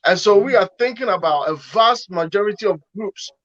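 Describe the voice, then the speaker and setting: A man's voice: high-pitched, expressive, brisk, a bit bright. Earnest preaching man on muffled call. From Ghana. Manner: earnest, preaching, repeats words when starting phrases. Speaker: pastor and guest speaker. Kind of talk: online panel discussion.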